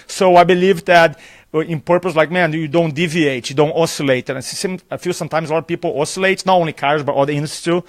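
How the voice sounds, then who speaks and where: Brazilian man, native Portuguese speaker, energetic conference interview guest, a slightly raised voice, dry close mic. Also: on the high side, dry recording. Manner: animated storyteller, acts out dialogue, laughs often. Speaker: public speaking trainer, guest. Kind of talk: enthusiastic live interview chat. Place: conference venue.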